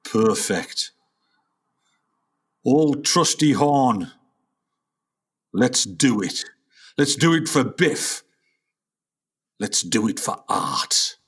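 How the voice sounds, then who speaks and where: A man playing a weary, delusional older father and failed jazz musician in a comic radio play, musing grandly in a husky voice. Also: mid-range voice, expressive, extremely slow, pauses often, a bit bright, exaggerated voice. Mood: grandiose, resolved. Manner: dramatic musing, talking to himself.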